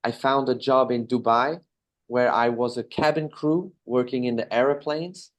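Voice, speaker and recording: A man in his thirties from Belgium with a Belgian accent, answering interview questions in a relaxed, casual way, with fillers and self-corrections. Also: medium pitch, measured pace. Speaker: interview guest, business owner.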